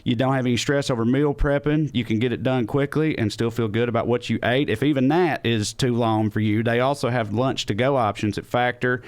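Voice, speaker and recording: A man's voice: medium pitch, steady tone, a little fast, few pauses. A man hosting a comedy podcast, talking in a casual, conversational way. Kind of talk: casual banter and host-read ad.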